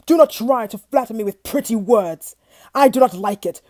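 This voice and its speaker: A woman's voice: fairly low pitch, expressive, slow, creaky, dark tone, breathy edge, dry recording, exaggerated voice. A woman growling as a huge furious beast. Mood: roaring. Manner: growling, roaring.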